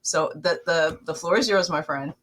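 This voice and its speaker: Female podcast host, slightly low voice, upbeat and chatty. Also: moderate pace, a bit bright. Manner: upbeat, chatty host who introduces and prompts the guest. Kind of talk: casual interview hosting.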